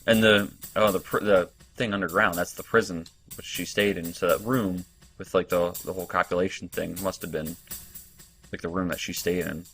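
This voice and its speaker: A man with a fairly low voice narrates his own let's play. He reads the on-screen story text aloud, then breaks off to work through it in halting, casual remarks. Soft game music plays underneath. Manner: halting, thinks aloud with restarts. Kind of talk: reading game text and reacting.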